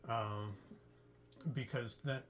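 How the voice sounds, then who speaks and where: Halting man hosting a cast chat. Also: medium pitch, fairly even intonation, fairly bright tone, slight background noise. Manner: halting, many fillers and restarts. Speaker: creator and producer of an audio drama. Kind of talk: casual group interview.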